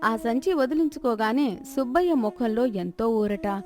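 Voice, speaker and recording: A woman's voice: a little high, animated, measured pace, a little mellow. A woman narrator reading a scripted moral story as a storyteller.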